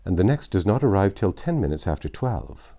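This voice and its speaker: A man voicing a matter-of-fact gentleman bank director in a storybook, speaking formally and precisely. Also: low voice, very animated, brisk.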